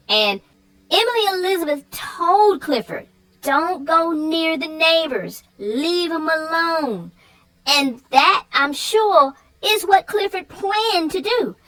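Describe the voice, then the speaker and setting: A woman's voice: high voice, a bit bright, rough recording. A woman co-hosting a children's read-aloud show, chatting about a story with young viewers.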